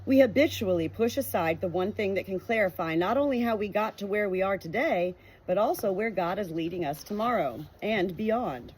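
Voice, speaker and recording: A woman's voice: mid-range voice, lively intonation, moderate pace, few pauses, darkish tone, slightly rough, low-quality recording. Earnest woman reading a faith book aloud. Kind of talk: reading a nonfiction book aloud with personal reflection.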